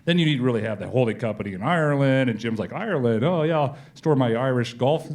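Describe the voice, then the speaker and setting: A man being interviewed as a company executive, telling stories conversationally and acting out exchanges in informal, animated speech. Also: mid-range voice, fast. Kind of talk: conversational on-stage storytelling.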